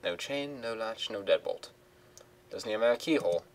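A man voicing a dry, sardonic male cat burglar in a game, speaking low and deadpan. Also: normal speed, far from the mic, lightly acted, rough recording.

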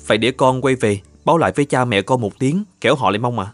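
A man voicing an earnest, devoted young bridegroom in an animated folktale, questioning and polite. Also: mid-range voice, quick pace, no room sound, close to an everyday voice. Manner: earnest, questioning, old-fashioned polite speech. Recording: music under the voice.

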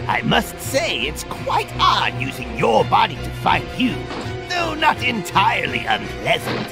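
An actor voicing a gloating alien parasite villain for an anime game, mocking and theatrical. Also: male voice, sits high, huge pitch swings, unhurried, gravelly, over music, full character voice. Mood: smug, mocking.

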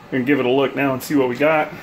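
Dude in his 30s filming a diy thing in his kitchen on his phone, lots of um and uh, mid pitch, ac hum in the back. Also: rapid-fire. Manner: relaxed, thinking aloud with frequent ums and uhs. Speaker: bowling hobbyist vlogger. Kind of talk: casual DIY demonstration. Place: home kitchen, indoors. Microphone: phone held at arm's length.

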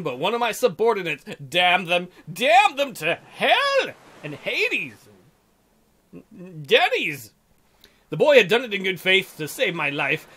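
High-pitched, bright man goofing through a game. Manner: goofy, irreverent, crude joking. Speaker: gameplay host reading a visual novel aloud. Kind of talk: playthrough narration with joking asides.

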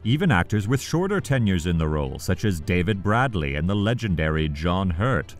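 A man presenting a scripted countdown video essay, with an animated, emphatic delivery that draws out key phrases. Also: low-pitched, moderate pace, faint music behind.

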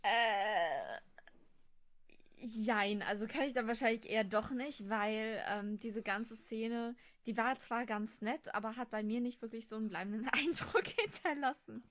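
A woman's voice: fairly high pitch, measured pace, fairly bright tone. A woman talking informally and a little hesitantly in a casual podcast conversation. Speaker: podcast participant. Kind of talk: casual chat.

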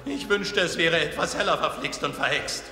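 A man's voice: a little high, moderate pace, echoey room, natural voice. A man voicing a scheming, short-tempered, fawning evil wizard in a cartoon dub, theatrical and gloating. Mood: grumbling. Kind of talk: cartoon villain dubbing. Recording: music behind the voice.

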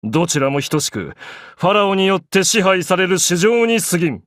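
An actor playing an arrogant, grandiose young pharaoh in an anime game, declaiming in an imperious, pompous way. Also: male voice, high-pitched, very animated, moderate pace, fairly bright tone, no echo, studio quality. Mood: grand, haughty.

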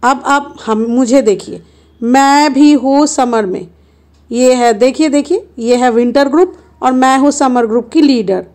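A woman's voice: sits high, unhurried, a little mellow. A woman narrating a road-trip travel vlog in a chatty, playful way, filming on a handheld phone from a car and at roadside stops. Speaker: travel vlogger.

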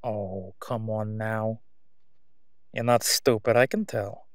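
A man's voice: fairly low pitch, fairly even intonation, no echo, studio quality. Man acting out a sleazy, menacing crime boss. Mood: slyly coaxing. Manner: oily, taunting, drawn-out delivery with stalling pauses. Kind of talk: solo audio roleplay acting.

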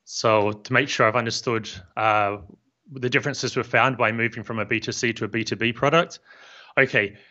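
A man in his fifties with a New Zealand accent, a software founder calmly explaining his business in an interview. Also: medium pitch. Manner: measured and friendly, explains plainly. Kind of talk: casual interview chat. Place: home office room. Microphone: studio mic on boom arm, headphones. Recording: slightly dull lo-fi audio.

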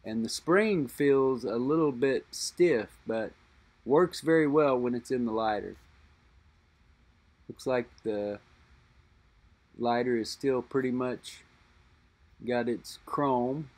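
A middle-aged man speaks at an unhurried, deliberate pace, thinking aloud about an old lighter in his hand. His voice sits at an ordinary middle pitch. He pauses and backtracks as he weighs what he sees. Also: a little mellow. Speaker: lighter collector and reviewer. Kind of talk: unscripted show-and-tell narration.

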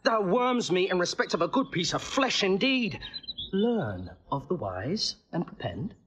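Scornful shakespeare jester, husky, swoops around a lot, on the high side. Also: male voice, sing-song, unhurried, fairly bright tone, a little hiss or hum, full character voice. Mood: scornful, teasing. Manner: theatrical, mocking, wordy. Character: witty, mocking male court jester, quick and scornful. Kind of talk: Shakespearean stage comedy acting.

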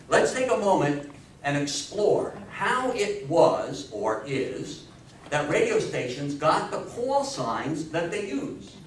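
A very reverberant recording from a distant microphone, with faint noise, of a man in his sixties lecturing. His voice sits a little high for a man. He speaks emphatically and in a folksy style, drawing his listeners in with questions. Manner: emphatic, folksy, prompting the audience. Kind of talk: animated public history lecture with audience questions. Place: small meeting room with seated audience. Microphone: distant camera microphone.

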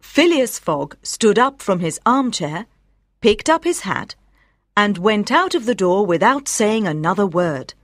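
A woman narrating a scripted story as an audiobook for language learners, with careful, clear phrasing. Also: mid-range voice, big pitch swings, unhurried, dry recording, studio quality.

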